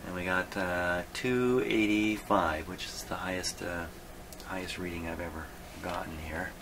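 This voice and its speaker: A man hosting a hands-on repair demonstration, thinking aloud in a halting, muttering way as he works. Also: sits low, steady tone, noisy background. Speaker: host of a radio repair video.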